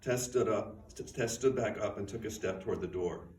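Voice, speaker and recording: A man with a very low voice gives a measured, quiet reading of his own fiction. He stands back from the microphone in a bookstore, so the sound is roomy and distant. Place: bookstore event room. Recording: roomy, distant, faint noise.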